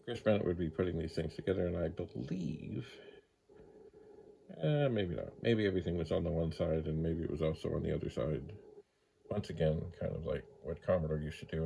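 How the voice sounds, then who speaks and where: An older man with a low, gravelly voice and a mellow, dark tone. He rambles casually as a hobbyist presenter, unhurried and musing, often repeating words for emphasis. There is faint noise behind him. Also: rough recording. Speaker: vintage computer hobbyist presenting his channel. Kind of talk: casual rambling demonstration talk.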